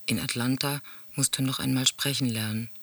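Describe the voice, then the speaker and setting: Solemn storyteller, flat intonation, husky and tense, close dry sound, radio play recitation. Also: male voice, medium pitch, normal speed, no echo. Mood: grave. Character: sombre male teller reciting grim memories and letters. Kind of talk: radio drama monologue, literary recitation.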